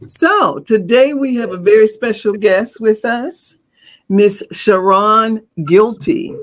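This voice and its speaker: A woman's voice: mid-range voice, slow pace. A woman hosting a podcast in a casual, effusive way, laughing often. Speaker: podcast host. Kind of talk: casual chat.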